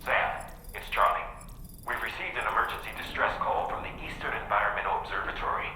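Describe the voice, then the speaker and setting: A very echoey, sharp-edged male dispatcher for a video game. He rattles out urgent official orders in a brisk, businesslike way. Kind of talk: video game radio briefing. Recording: very echoey transmission, some noise and rumble.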